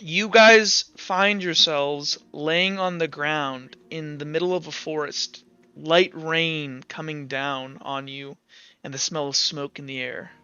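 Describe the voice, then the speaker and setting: A man runs a tabletop game with casual narration. His voice is fairly high, bright and crisp. The recording is a little dull and lo-fi. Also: fairly even intonation, slow. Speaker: game master.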